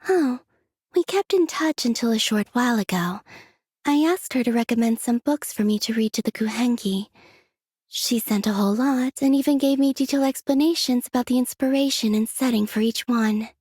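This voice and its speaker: A woman voicing a gentle, dreamy young moon maiden from a video game, speaking softly and airily in an unhurried, serene way. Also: fairly high pitch, no room sound, studio quality. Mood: mild, musing. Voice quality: soft, breathy. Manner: unhurried, airy, serene, delicate. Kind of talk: scripted video game character voice lines.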